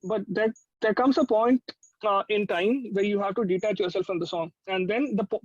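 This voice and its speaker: A man's voice: high voice, normal speed, dry recording. A young man with an Indian accent, talking informally and emphatically as an interview guest. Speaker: music producer, interview guest. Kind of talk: casual chat. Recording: dry, slightly dull lo-fi sound.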